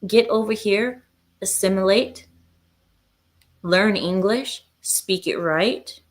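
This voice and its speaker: A woman's voice: mid-range voice, slow pace. A woman hosting a casual livestream, bluntly giving her opinions and replying to viewer comments. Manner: blunt and opinionated, with frequent hesitations. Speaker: livestream host.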